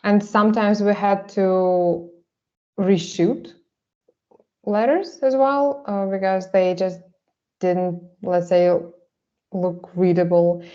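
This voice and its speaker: Woman talking slow n halting, lots of pauses, kinda dull lo-fi sound. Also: on the low side, dark tone. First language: Russian. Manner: halting, hesitant, frequent pauses. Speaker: artist presenting her work. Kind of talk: panel presentation.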